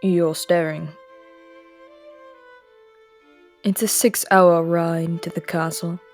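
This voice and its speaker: A cool, languid immortal prince, as a woman voices him in a roleplay audio drama, aloof and aristocratic. The voice is lowish with a gravelly fry, and he gives orders calmly, drifting now and then into soft murmurs. Faint string music plays beneath. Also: a little slow. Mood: soothing. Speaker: voice actor.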